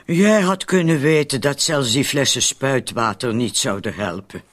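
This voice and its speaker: A man's voice: mid-range voice, a little slow, a bit bright. A man playing an anxious, doubting accomplice in a radio drama, with a hesitant, questioning way of talking. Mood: reproachful. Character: anxious, doubting, wordy accomplice in a murder plot. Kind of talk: Dutch-language radio drama acting.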